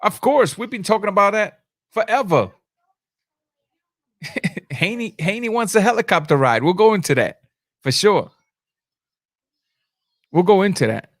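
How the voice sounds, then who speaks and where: A man with a slightly high voice commentates on boxing as a live stream host. He is blunt and informal, leaning on slang and hammering key phrases by repeating them. The recording is very dry, with no room echo. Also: expressive, measured pace, pauses often, fairly bright tone. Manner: emphatic, repeats phrases for effect. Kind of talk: live sports commentary, answering chat comments.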